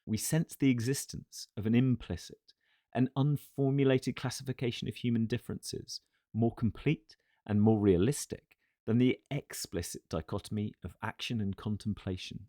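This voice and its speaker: A man reading a philosophical text as scripted narration, in a formal, measured and deliberate way. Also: medium pitch, steady tone, mellow, dry recording, studio quality. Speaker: audiobook narrator.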